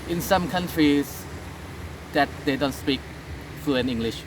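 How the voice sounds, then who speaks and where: A man from Thailand with a Thai accent, speaking English as a second language in a casual interview, halting with many fillers and restarts. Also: on the high side, a little hiss or hum, rough recording.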